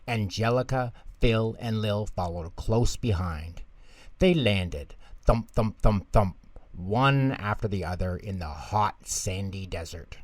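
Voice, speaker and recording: A man reading a children's bedtime story aloud as scripted, expressive narration. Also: lowish voice, slow, no room sound.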